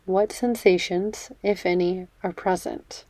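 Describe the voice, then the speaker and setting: Slow, mellow woman guiding meditation. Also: lowish voice, fairly even intonation, no echo. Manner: gentle, measured, soothing delivery with pauses. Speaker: meditation guide. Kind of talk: guided meditation, body scan.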